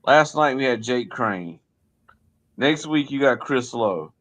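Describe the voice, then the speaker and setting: A man's voice: medium pitch, animated, takes it slowly, fairly bright tone. Rambling male sports show co-host. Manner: rambling, hesitant phrasing. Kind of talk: casual, unscripted livestream chat.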